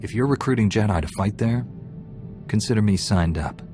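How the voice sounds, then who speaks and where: An actor playing a stern, dry-witted Jedi warrior hero in a video game, speaking curtly. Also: male voice, fairly low pitch, expressive, a little fast, music in the background, close to an everyday voice. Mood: dry, resolute. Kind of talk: video game cutscene acting.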